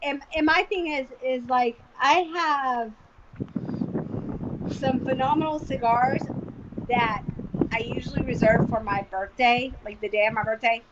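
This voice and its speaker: A woman's voice: high voice, steady tone, a little slow, lo-fi sound, background noise. A woman in her forties co-hosting a casual online show, talking candidly and animatedly, with lots of filler words and the odd swear. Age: 40s. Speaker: co-host. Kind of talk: casual chat.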